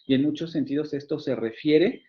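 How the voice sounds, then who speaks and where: A man's voice: a little high, normal speed. A man with a Mexican Spanish accent, a university phonetics professor giving an online lecture, explaining with frequent fillers, restarts and rhetorical questions. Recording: dull lo-fi sound.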